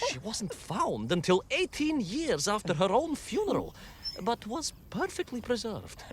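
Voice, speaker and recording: An actor playing a bumbling, boastful but kindly Soviet cosmonaut, telling tall tales for a game, dramatic and rambling. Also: male voice, very high voice, a bit bright, full character voice. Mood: wistful, rambling. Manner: dramatic, rambling, full of hesitant asides. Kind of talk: theatrical storytelling game voice acting.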